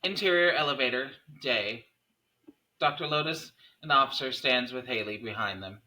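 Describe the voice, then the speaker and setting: A man's voice: mid-range voice, normal speed, sharp tone, a little hiss or hum, rough recording. A man reading stage directions aloud as the narrator of a table read.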